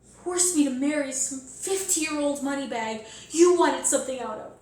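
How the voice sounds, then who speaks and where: A woman playing a bitter, wounded young Southern daughter in a stage monologue, deliberate, pleading and accusatory, with heavy pauses. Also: high-pitched, lively intonation, a little mellow. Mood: bitter, accusing. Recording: echoey room, faint noise.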